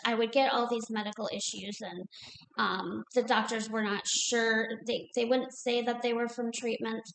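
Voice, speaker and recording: A woman's voice: medium pitch, creaky, fairly bright tone. A young adult woman, a memoir author, answers a panel question at a library event, hesitant, with many ums and restarts. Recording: echoey room, faint noise.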